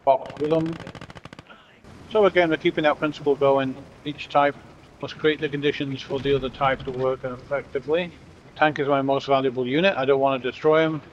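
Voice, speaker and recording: A middle-aged man from Britain with a blended British and American accent, giving relaxed, wry commentary over a strategy game and joking with his units. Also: medium pitch, a little hiss or hum. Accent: mixed British and American. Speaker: gameplay commentator. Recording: dull lo-fi audio.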